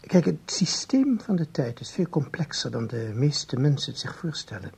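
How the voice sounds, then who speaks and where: An actor playing a learned time traveller in a radio play, calm, formal and didactic. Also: male voice, fairly high pitch, normal speed, gravelly, slightly rough, heavily acted. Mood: didactic, composed. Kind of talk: Dutch radio play acting.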